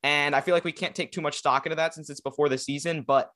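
A man's voice: on the high side, fairly even intonation, fast talker, sharp tone. A young man hosting a sports podcast in a casual, rambling chat with a guest. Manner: rambling, filler-heavy, conversational. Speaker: podcast host.

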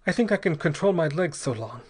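A man voicing a tormented, formal Argentine gentleman in an adventure story, gasping and pleading in halting, unsteady phrases. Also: fairly high pitch, pitched above the performer's own voice, lightly acted. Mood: strained, resigned. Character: poisoned Argentine gentleman, desperately polite, gasping, fighting madness.

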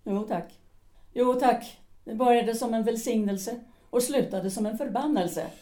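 A woman's voice: on the high side, dark tone, natural voice. A woman playing a bitter, cutting middle-aged wife in an audio drama. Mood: scornful. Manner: cutting, scornful. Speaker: Alice. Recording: roomy echo.

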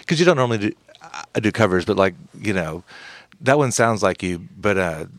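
A man's voice: fairly low pitch, dry recording. A man hosting a podcast, chatting in a rambling, friendly way with many restarts. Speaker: podcast host. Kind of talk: casual podcast chat.

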